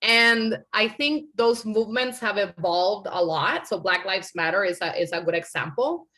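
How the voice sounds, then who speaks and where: A woman's voice: medium pitch, normal speed, bright, forceful tone. A woman from Puerto Rico answering questions on a panel, earnest and conversational, often adding "like" and "right?". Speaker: panel speaker.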